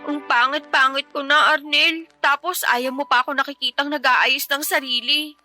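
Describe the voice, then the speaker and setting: A woman's voice: high voice, steady tone, moderate pace, sharp tone, faint music behind. A woman playing a tired, pregnant young mother who feels insecure in a radio drama, speaking emotionally and expressively. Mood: hurt. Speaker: wife character in a radio drama.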